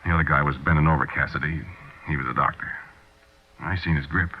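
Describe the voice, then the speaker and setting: A man's voice: very deep voice, fairly even intonation, fast talker, slightly rough. An actor with an American accent playing a cold-blooded small-time crook, telling his story in tough, confiding slang in 1940s radio drama style. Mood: matter-of-fact, confiding. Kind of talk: 1940s radio drama first-person narration. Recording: muffled 1940s radio recording.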